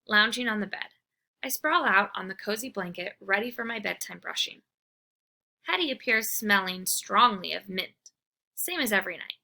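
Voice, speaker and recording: A woman reading a children's book aloud, expressive and animated. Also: mid-range voice, creaky. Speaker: storybook reader. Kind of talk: children's read-aloud narration.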